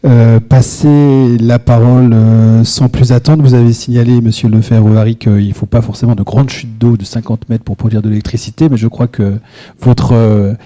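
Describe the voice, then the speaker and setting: A man's voice: medium pitch, fairly even intonation, moderate pace, dark tone. A man moderating a public round table, formally introducing the speakers. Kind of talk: moderating a panel.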